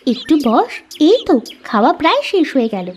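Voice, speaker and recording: A woman's voice: high-pitched, big pitch swings, normal speed, a little mellow. A woman voicing an easygoing young village girl in a cartoon story, friendly and girlish, on a muffled, noisy recording.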